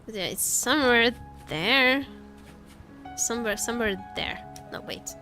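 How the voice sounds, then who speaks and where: Animated, high-voiced woman game streamer. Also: unhurried, soft background music. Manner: playful, repeats phrases to chat. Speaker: livestreamer playing a game. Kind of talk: casual chatty game stream commentary.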